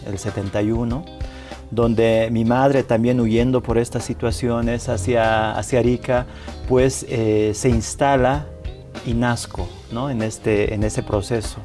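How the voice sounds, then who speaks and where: Measured Bolivian man telling his story. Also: medium pitch, warm tone. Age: 40s. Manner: measured, frequent tag questions and restarts. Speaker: interview guest, rights activist. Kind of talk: reflective personal storytelling. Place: television studio.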